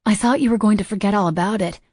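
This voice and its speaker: A woman's voice: medium pitch, racing, dark tone, no echo, clean studio sound, voice pushed up, lightly acted. A woman voicing a prickly, self-conscious young woman in an audiobook. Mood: huffy. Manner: huffy, defensive.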